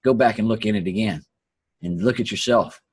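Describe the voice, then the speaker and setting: A hoarse man who is losing his voice hosts an earnest, preachy motivational talk and often repeats his phrases. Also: on the low side, fairly quick, darkish tone. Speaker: host. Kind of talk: motivational talk on a live online call.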